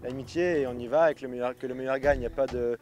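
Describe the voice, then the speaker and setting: A man's voice: mid-range voice, fairly even intonation, very fast, darkish tone, faint music behind. A young man from France, a kitesurf athlete, answering interview questions casually with many fillers and restarts. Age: young adult. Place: outdoors at a beach resort.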